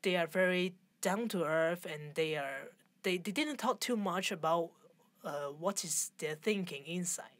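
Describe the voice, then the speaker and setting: Low-pitched Hong Kong woman in interview. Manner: halting non-native English phrasing. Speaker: interview guest, fashion designer. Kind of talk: conversational interview.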